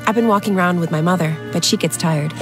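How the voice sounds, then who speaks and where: A woman's voice: lowish voice, fast, music in the background, lightly acted. A woman playing an artless young American socialite in a period radio drama, breezy, candid and rambling. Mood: breezy, chatty.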